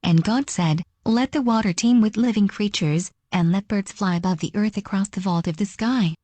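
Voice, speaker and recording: A woman with a mellow, dark-toned voice reads scripture aloud. Her delivery is measured and formal, and the recording is very dry with no room sound. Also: medium pitch, dry recording. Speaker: reader.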